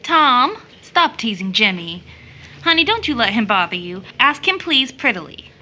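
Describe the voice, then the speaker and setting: A woman playing a gentle, affectionate, dutiful young woman in an audio drama, with soothing phrasing. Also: a little high, big pitch swings, moderate pace, heavily acted.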